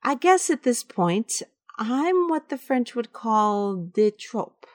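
A woman plays a sensible, skeptical lady in a radio play. Her voice is fairly high and lively in pitch, with slow, measured delivery in a dry, close sound. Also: no echo. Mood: concerned. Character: sensible, skeptical woman, loyal friend of an actress. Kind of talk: audio drama acting.

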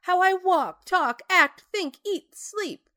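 Woman acting a high-voiced, biting, proud stage diva. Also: animated, takes it slowly, pushed and bright, exaggerated voice. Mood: sardonic. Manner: sardonic, dramatic delivery. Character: proud, biting forty-year-old stage actress, wounded and theatrical. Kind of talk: audio drama acting.

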